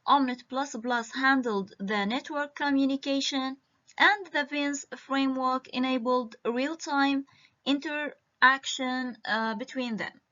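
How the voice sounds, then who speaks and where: A woman from Iraq giving an academic conference presentation over a video call, halting and full of hesitations. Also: high-pitched, bright, forceful tone. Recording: muffled lo-fi video-call audio.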